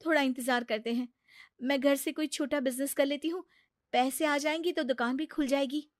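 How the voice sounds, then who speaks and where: A woman's voice: high-pitched, fairly even intonation, moderate pace, no echo, clean studio sound, lightly acted. A woman voicing an exasperated, practical wife in a cartoon story, scolding and pleading with her gambler husband. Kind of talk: cartoon story character acting.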